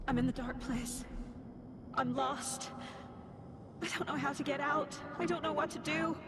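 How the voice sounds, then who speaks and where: A woman's voice: high voice, steady tone, measured pace, over music. An actress playing a woman's bleak inner voice of guilt, despairing and self-accusing in a video game. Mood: hopeless. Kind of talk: dramatic game voice acting. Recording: loud noisy ambience behind the voice.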